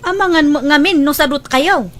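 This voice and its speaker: Very high, bright-voiced pushy recruiter woman actress. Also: moderate pace. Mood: persuasive. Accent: Ilocano. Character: pushy, defensive woman recruiter for online job. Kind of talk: Ilocano audio drama dialogue.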